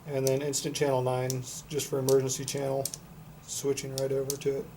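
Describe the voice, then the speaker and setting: A man's voice: medium pitch, completely flat delivery, brisk, noisy background. A man giving a casual product demonstration as a reviewer, plain-spoken and matter-of-fact. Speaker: product reviewer for a CB radio shop.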